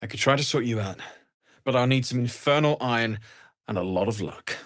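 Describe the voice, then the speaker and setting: A man playing an earnest, kindly blacksmith in a video game, weary from surviving the hells, speaking with gentle, understated sincerity. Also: medium pitch, fairly quick, close to an everyday voice. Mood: practical. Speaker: video game character actor. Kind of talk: acted video game dialogue.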